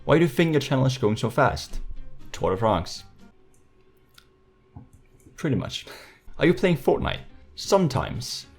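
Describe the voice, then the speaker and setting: A man's voice: medium pitch, very animated, unhurried, vocal fry, long pauses, slightly rough. Casual Danish-accented teenage guy over music. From Denmark. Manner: jokey, slangy, playfully sarcastic. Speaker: YouTuber hosting a Q&A. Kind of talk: casual chat to viewers.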